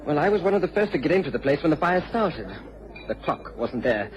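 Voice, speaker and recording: A man's voice: on the high side, big pitch swings, a little fast, background noise. A man playing an emphatic, plain-spoken village neighbour in a radio drama. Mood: insistent. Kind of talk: BBC radio drama acting. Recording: dull old radio recording.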